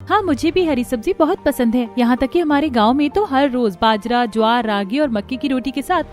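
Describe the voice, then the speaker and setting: A woman's voice: sits high, fairly quick, close to an everyday voice. A woman voicing an eager young daughter-in-law in a Hindi family cartoon. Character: eager young daughter-in-law who loves village food.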